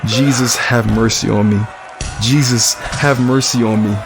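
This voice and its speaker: A man plays a devout man weighed down by guilt in an audio drama. His voice sits at a middle pitch and he speaks with earnest, solemn weight. Music plays clearly behind him. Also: steady tone, a little slow, crowd noise, close to an everyday voice. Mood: pleading, anguished. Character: Jordan, guilt-ridden devout man working at a deadly fight ring. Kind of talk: audio drama acting with inner monologue and prayer.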